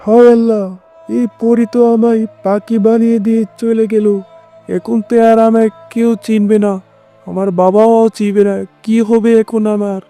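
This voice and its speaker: A man's voice: high voice, little pitch movement, moderate pace, warm tone, faint music behind. A man voicing a hapless young cartoon fox turned into a bird, speaking in a plaintive, pleading way. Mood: despairing. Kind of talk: Bengali children's cartoon dubbing.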